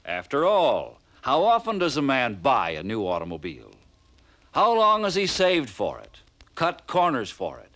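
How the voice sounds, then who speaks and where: A man's voice: mid-range voice, wildly swooping pitch, moderate pace, fairly bright tone. An American man narrating in 1950s training film style, persuasive and punchy, full of rhetorical questions. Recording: vintage 1950s film soundtrack.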